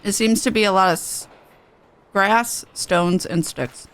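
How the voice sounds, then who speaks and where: A woman's voice: medium pitch, measured pace, a bit bright. A woman streaming a game, playfully commenting and reading dialogue aloud in a casual style. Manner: playful, joking. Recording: music behind the voice.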